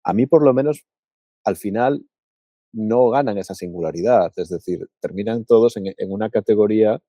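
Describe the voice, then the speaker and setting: A man from Paraguay co-hosting a podcast, thinking aloud in a relaxed, reflective chat with frequent restarts. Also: on the low side, moderate pace, no echo, muffled recording. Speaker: podcast co-host. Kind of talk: casual reflective conversation.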